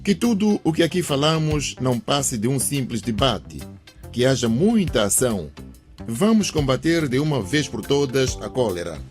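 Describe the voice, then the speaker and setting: Male radio presenter, mid-pitched and very animated, music behind. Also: normal speed. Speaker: radio drama cast member and presenter.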